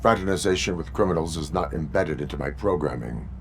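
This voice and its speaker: An actor playing a stoic, unyielding cyborg policeman in a video game, with clipped, deadpan, robotic delivery. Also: a bass voice, fast, creaky, slight background noise, exaggerated voice. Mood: stern deadpan. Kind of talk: video game cutscene acting.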